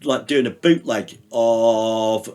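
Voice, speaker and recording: A man's voice: mid-range voice, takes it slowly, dark tone, clean tone. Rambling man, casual. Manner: rambling, anecdotal, swears, many fillers. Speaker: podcast guest, radio producer. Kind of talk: casual chat.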